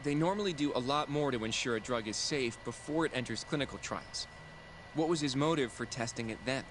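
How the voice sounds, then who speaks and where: A man playing a thoughtful investigator who reasons things out in a measured, explanatory way, as game dialogue. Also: medium pitch, noisy background, lightly acted. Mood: speculating. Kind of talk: video game dialogue acting.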